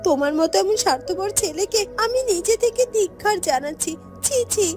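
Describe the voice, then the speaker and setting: A woman's voice: extremely high pitch, expressive, fairly quick, music in the background. A woman voicing a proud, wronged young village woman in a fairy tale cartoon, with earnest speeches. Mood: reproachful.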